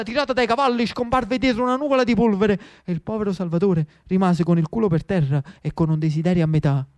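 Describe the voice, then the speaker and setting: A man with a Neapolitan accent narrating theatrically as an actor and storyteller, emphatic, with rhetorical pauses and drawn-out words. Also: high-pitched, darkish tone.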